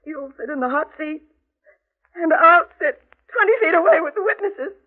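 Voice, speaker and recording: Bitter scared wife, high emotional voice, accusing, thin old 40s radio sound. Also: female voice, expressive, moderate pace. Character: desperate, bitter, defiant wife of a madman. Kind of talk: 1940s radio melodrama acting. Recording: thin, muffled 1940s radio recording.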